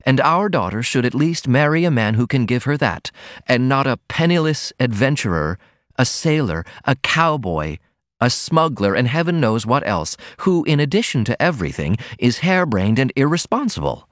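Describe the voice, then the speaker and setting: A man reading aloud, voicing a proper middle-aged mother who speaks in measured, disapproving tones. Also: medium pitch, very animated, no room sound, clean studio sound, pitched above the performer's own voice, natural voice. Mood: stern.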